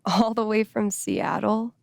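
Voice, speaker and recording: A woman's voice: mid-range voice, little pitch movement, measured pace, gravelly, darkish tone, dry recording, clean studio sound. An actress playing a laid-back, geeky young American woman in a video game, speaking in a casual, wry, playful way. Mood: playful, teasing. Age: young adult. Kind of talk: naturalistic video game character dialogue.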